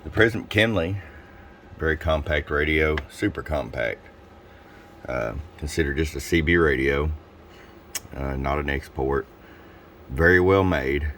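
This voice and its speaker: A man with an extremely low, bassy voice presents a casual product demonstration. He explains things informally and without hurry, like a seller walking a customer through an item. Some noise sits behind his voice. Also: unhurried, pauses often, fairly bright tone.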